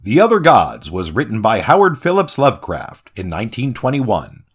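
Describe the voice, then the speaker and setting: A man's voice: medium pitch, huge pitch swings, normal speed, breathy edge. A man announcing the credits of a radio drama from a script.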